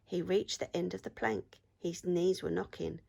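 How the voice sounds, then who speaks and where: A woman teacher reading a story aloud to children in an expressive storytelling style. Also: on the low side, moderate pace, gravelly, husky.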